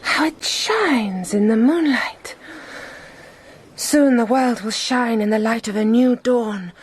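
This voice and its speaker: A woman's voice: fairly high pitch, husky. An actress playing an imperious, seductive, fanatical woman spymaster, solemn and grandiose, in an audio drama. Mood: seductive, commanding. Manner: slow, solemn, intimate, grandiose.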